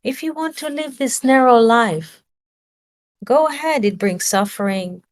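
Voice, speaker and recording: A woman's voice: a little high, lively intonation, unhurried. Woman giving a searching spiritual talk. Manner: searching for words, rhetorical questions. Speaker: spiritual teacher.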